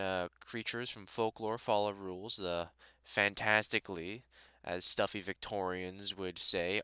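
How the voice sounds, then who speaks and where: A man's voice: lowish voice, bright, forceful tone, clean tone, dry recording. A British man playing a dry, sarcastic vampire hunter in a conversational audio roleplay, chatting informally to the listener. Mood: wry, teasing. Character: gruff, sardonic but welcoming male vampire hunter.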